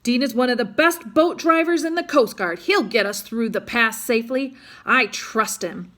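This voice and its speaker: A woman's voice: fairly high pitch, lively intonation, normal speed, fairly bright tone. A woman reading the part of a loyal, reassuring male lifeboat crewman in a storybook.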